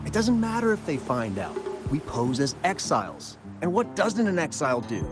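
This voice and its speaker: An actor playing a shifty, scornful gang member in a video game, with a sarcastic manner. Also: male voice, on the high side, normal speed. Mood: defensive, smug. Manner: sarcastic, animated. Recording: music behind the voice.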